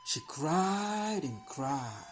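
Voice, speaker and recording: A woman with a Nigerian accent narrating a bedtime folktale as a storyteller, expressive and deliberate. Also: deep voice, faint music behind.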